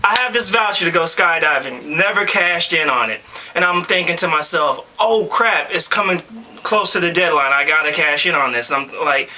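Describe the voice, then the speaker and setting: A young man from Louisville, Kentucky, telling a story in a casual, animated way, with slang and frequent laughs. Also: a little high, normal speed, a little hiss or hum. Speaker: audition video co-presenter.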